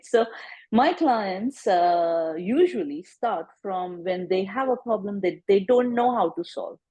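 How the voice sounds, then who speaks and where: A woman psychologist as an interview guest, explaining her work in a relaxed way with everyday comparisons and often checking with "right?". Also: mid-range voice, breathy edge. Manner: explains with analogies, tags "right?". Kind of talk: casual interview chat.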